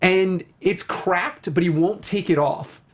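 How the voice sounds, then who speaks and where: A man's voice: high-pitched, takes it slowly. A man hosting a talk show, chatting casually and emphatically about a video game with plenty of enthusiasm.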